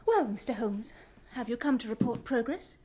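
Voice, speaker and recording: An actress playing a high, theatrical stage star. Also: female voice, expressive, normal speed, heavy background noise, natural voice. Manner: theatrical, declaiming.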